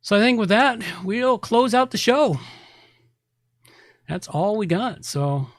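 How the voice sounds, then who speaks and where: A man's voice: sits high, animated, takes it slowly, no room sound, studio quality. A man hosting a tech podcast, talking casually and explaining things with emphatic repetition. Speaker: podcast host, website repair specialist.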